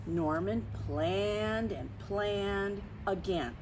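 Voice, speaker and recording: Woman, children's storytime host, very slow pace, expressive read-aloud, questioning asides, clear background noise. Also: medium pitch.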